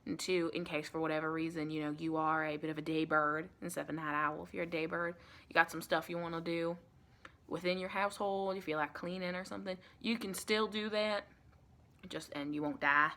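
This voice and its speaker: An American woman playing a sweet, reassuring vampire in a chatty, rambling ASMR roleplay, with a creaky voice. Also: sits low, fairly even intonation, fairly quick, a bit bright, voice pushed down. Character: sweet, reassuring female vampire welcoming a new recruit.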